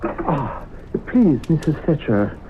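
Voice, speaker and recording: An actor playing a courteous, mysterious professor who speaks with measured assurance in an old radio drama. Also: male voice, fairly high pitch, wildly swooping pitch, exaggerated voice. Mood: polite concern. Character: courteous, mysterious professor claiming to raise the dead. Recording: tinny, noisy old radio broadcast recording.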